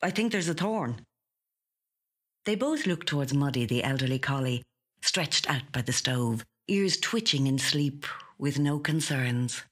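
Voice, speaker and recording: Female narrator for literary fiction: low register, husky edge, measured but expressive dramatic delivery. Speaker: professional actor reading short stories. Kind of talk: literary short story narration. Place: recording studio.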